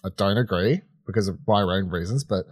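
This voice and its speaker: A man's voice: low voice, fairly quick, a little mellow, husky, no room sound, clean studio sound. A man co-hosting a podcast with casual, opinionated banter, informal and slangy in how he talks. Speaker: podcast co-host.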